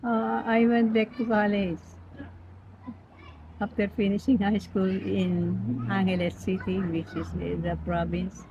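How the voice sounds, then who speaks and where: A woman in her nineties from the Philippines, speaking with a Filipino accent and Pampango as her first language, rambling gently and laughing easily as she recalls her life. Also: mid-range voice, flat delivery, a little slow. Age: 90s. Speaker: interviewee. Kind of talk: reminiscing in casual chat. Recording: lo-fi with background noise.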